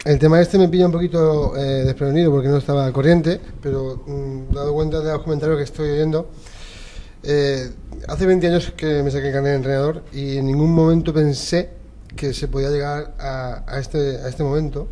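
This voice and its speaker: A man's voice: on the high side, fairly even intonation, brisk, dark tone. A middle-aged man with an accent from Spain speaking as a debate guest, hesitating and repeating himself. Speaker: football coach, debate guest. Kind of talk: spontaneous panel debate. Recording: clear background noise.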